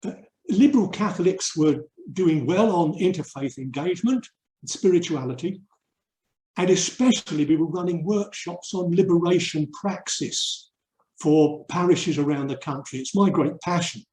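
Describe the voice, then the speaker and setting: An older man from England giving a reflective webinar talk, earnest and emphatic, with deliberate pauses. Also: a little high, a little mellow. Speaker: urban mission speaker and theologian. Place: indoor room, online webinar. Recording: roomy, echoey webinar audio.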